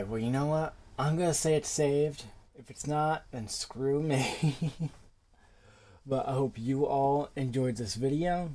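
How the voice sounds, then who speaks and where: A man's voice: mid-range voice, mellow, slight background noise. A young man giving casual let's-play commentary as a gaming host, informal, with muttered asides and exclamations. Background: game music.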